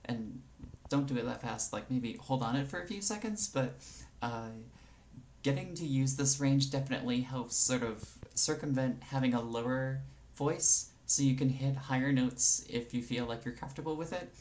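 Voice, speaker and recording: Young woman, very low pitch, casual tutorial chat, lots of ums, faint room noise. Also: moderate pace. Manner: relaxed, rambling with many fillers. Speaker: vlogger.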